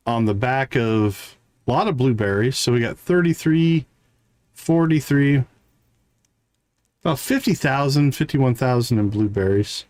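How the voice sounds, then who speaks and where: A man's voice: mid-range voice, darkish tone, dry recording. A man livestreaming a video game, casually thinking aloud as he plays. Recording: clear background noise.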